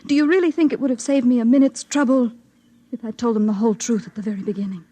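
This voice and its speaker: A woman's voice: a little high, fairly even intonation, fast talker, mellow, natural voice. An actress playing a lonely, bitter, secretive young woman in a 1950s radio drama, with a world-weary, intense delivery. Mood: scornful, anguished.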